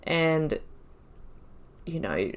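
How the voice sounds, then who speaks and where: A woman's voice: deep voice, sing-song, very slow. A woman giving let's-play commentary, musing aloud in a chatty way and laughing often. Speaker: YouTuber playing a video game.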